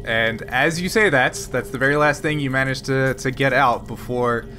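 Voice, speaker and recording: Male game master, halting and filler-heavy, music bed under. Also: medium pitch, sharp tone. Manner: halting, filler-heavy narration with frequent restarts. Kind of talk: narrating a tabletop game.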